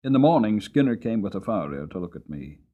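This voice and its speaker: Storybook narrator: long-suffering horse acted by a man, slightly low register, very animated intonation, deliberate and earnest. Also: narrow, tinny audio. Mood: earnest, reflective. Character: weary, long-suffering male horse telling his life story.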